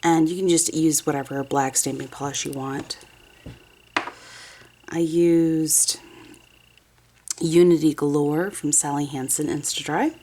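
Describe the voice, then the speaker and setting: Low-voiced woman, a bit stuffy from a cold, rambling chatty nail tutorial talk at her desk. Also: steady tone, a little slow, pauses often, mellow, a little hiss or hum. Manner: chatty, rambling, self-correcting. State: sniffly with a head cold. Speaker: nail art vlogger. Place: home desk, nail station. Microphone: overhead camera, hands only.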